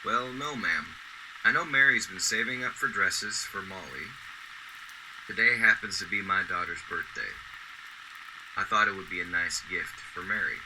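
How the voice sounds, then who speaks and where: An actor playing a kindly middle-aged garbage truck driver in a radio drama, warm, polite and folksy. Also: male voice, sits low, expressive, moderate pace, noisy background. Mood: gentle, earnest. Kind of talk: golden-age radio drama acting.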